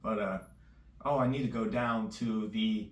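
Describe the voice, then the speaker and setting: A man chatting casually in a loose, rambling vlog, his voice a little raspy. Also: lowish voice, steady tone, measured pace. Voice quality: slightly raspy. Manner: loose and rambling, full of fillers. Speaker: vlogger. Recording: echoey room, distant mic.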